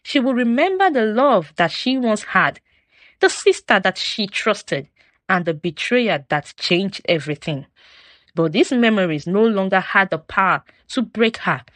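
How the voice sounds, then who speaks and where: A woman narrating a folktale from a script in a solemn, expressive, dramatic storytelling style. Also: medium pitch, measured pace, no room sound.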